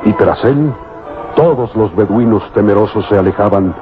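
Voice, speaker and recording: A man's voice: on the low side, moderate pace. A man narrating an old radio serial in a solemn, theatrical, scripted style. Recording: muffled vintage radio recording. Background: loud dramatic music.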